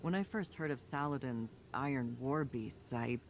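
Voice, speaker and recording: A man's voice: medium pitch, normal speed, a little hiss or hum, natural voice. A man playing a resolute, burdened military commander, earnest and firm, in a video game story monologue. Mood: reflective.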